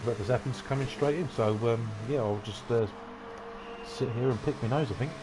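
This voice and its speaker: A man giving relaxed, rambling commentary on an online racing qualifying session. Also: medium pitch, moderate pace, noisy background. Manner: relaxed, rambling, self-deprecating asides. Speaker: race commentator.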